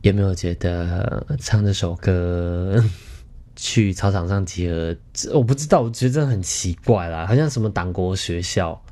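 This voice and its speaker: A man's voice: lowish voice, mellow. A young man with a Taiwanese Mandarin accent tells personal stories in a chatty, playful, self-teasing way, like a podcast host. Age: young adult.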